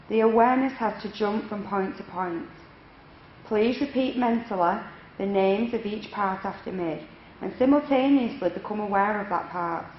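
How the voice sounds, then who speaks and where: A woman yoga instructor leading a guided relaxation meditation in measured, instructional phrases with unhurried pauses. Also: medium pitch. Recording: echoey room, dull lo-fi, faint noise.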